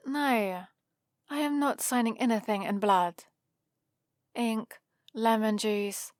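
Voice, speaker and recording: A woman's voice: medium pitch, dry recording, studio quality. A woman playing a prim, bossy and exasperated vampire librarian in a scripted ASMR roleplay, scolding in a fussy, theatrical way. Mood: grudging, impatient.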